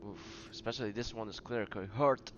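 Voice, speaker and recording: A man's voice: on the low side, moderate pace, highs cut off, noisy background. A man giving casual commentary as he plays a video game. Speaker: gamer. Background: game music.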